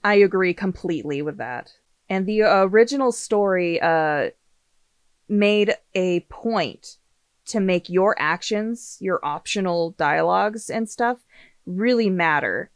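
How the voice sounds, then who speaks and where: A woman's voice: lowish voice, lively intonation, slow, smooth, dry recording, clean studio sound. A woman hosting a casual podcast discussion, speaking in an opinionated, emphatic way and swearing freely. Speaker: podcast host.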